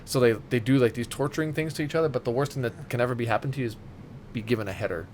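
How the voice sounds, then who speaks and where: A man's voice: medium pitch, rapid-fire, darkish tone, slight background noise. A man chatting casually as a podcast co-host, rambling and enthusiastic, with plenty of swearing. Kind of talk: casual chat with friends.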